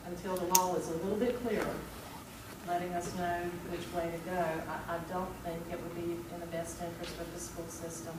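A middle-aged woman talks at a public board meeting in a slightly low, very flat voice, stopping and repeating words as she weighs her point. She is heard from a distance, with heavy room echo and background noise. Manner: hesitant, repeats words, measured. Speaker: school board member. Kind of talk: formal meeting discussion. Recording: echoey room, far from mic, background noise.

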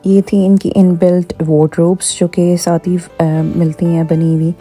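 A woman's voice: lowish voice, flat delivery, measured pace, dark tone, soft background music. A woman vlogger talking her viewers through a show home, chatty and full of fillers as she walks with her phone. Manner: chatty, many fillers, code-switching into English. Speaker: vlogger giving a home tour. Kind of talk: casual vlog narration. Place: indoors in a show home, walking room to room. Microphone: phone held in hand.

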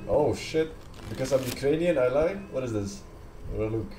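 A man's voice: mid-range voice, fairly quick, background noise. A man in his thirties chatting casually and dryly while streaming a game on a close mic. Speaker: livestreamer playing an online game. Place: small room with green curtain. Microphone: close desk microphone with pop filter.

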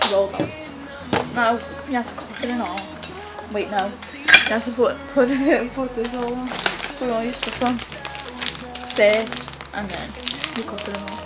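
A teenage girl chats into a close camera in her small bedroom, a bit high-pitched and rambling as she cooks and shows off her things. Loud music runs under her voice throughout. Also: poor audio. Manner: chatty and rambling, mumbles quietly while busy with her hands. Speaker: vlogger. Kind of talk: casual vlog chat while cooking. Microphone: camera mic close up.

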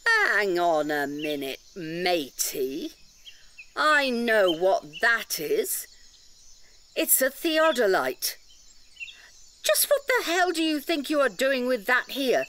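An actress playing a bossy, indignant British park ranger in an audio drama. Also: female voice, high voice, fairly bright tone, a little hiss or hum, exaggerated voice.

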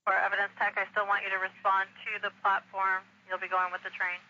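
American male police dispatcher, high-pitched, monotone, clipped procedural delivery on muffled scanner radio. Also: quick pace, a little hiss or hum.

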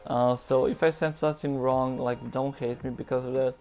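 A man's voice: medium pitch, steady tone, moderate pace, a little mellow, faint music behind. A young man from Croatia with a Croatian accent, hosting a casual Q and A over game footage, hesitant and nervous with many ums and likes. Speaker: gaming YouTuber.

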